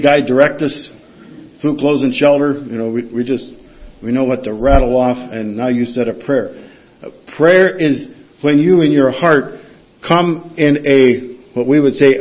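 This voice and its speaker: A man preaches a sermon with a slightly raised voice, reading verses and pressing the congregation with questions. He teaches in an earnest, direct way. The recording sounds dull and lo-fi. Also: on the high side.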